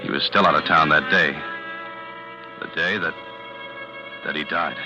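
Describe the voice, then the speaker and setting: A very deep-voiced young American ex-con, streetwise and guarded, talking tersely in 1940s radio drama fashion. The old recording is dull, with some music faintly behind. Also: male voice, fairly even intonation, measured pace. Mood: grim narration. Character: wary, streetwise young male ex-convict. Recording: muffled vintage radio recording.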